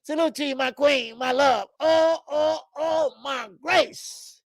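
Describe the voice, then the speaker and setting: A man in his fifties hosting a live boxing talk stream, loud and profane, rambling and greeting viewers by name. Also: extremely high pitch, takes it slowly, gravelly, no echo, clean studio sound. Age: 50s. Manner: frequent laughs and stretches of filler. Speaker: boxing show host. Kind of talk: live-stream commentary chat.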